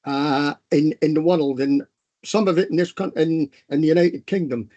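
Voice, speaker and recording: A man with a fairly high voice addresses a council meeting as a councillor. He speaks haltingly, repeating words as he gathers his thoughts. The sound is dull and muffled. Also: mellow.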